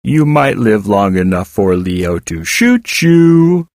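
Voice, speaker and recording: A malicious robotic woman, acted out by a male audiobook reader, taunts in a very slow, drawn-out way. The voice is hard and artificial, swinging in pitch with mock sweetness. The sound is dry. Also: very animated, a little mellow, dry recording, heavily acted. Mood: mock sincere. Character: malicious, taunting female robotic voice of a machine hacker. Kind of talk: audiobook character voice.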